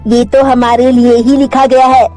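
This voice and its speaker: A woman plays an earnest young boy in a children's cartoon tale. The voice is high, simple and caring, with music in the background. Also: level tone, a little slow, mellow. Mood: surprised.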